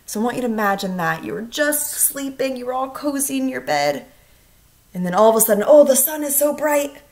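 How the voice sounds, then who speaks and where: Very animated woman teacher reading aloud. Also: fairly high pitch, brisk. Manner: expressive, asking the listener questions. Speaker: first grade teacher. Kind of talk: children's read-aloud lesson.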